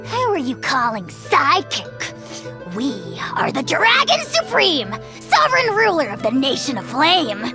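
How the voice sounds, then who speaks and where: A woman's voice: high-pitched, huge pitch swings, unhurried, music in the background, full character voice. A woman voicing a tiny, haughty non-human creature who calls itself a dragon lord, speaking grandly in the royal we and mocking others, in a video game. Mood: indignant. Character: tiny pompous self-crowned dragon lord, haughty and theatrical.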